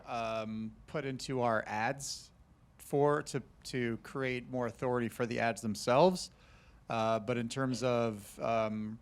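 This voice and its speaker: A man answering on an industry panel, hesitant and thinking aloud with many pauses. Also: mid-range voice, smooth. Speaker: panelist, brand marketer.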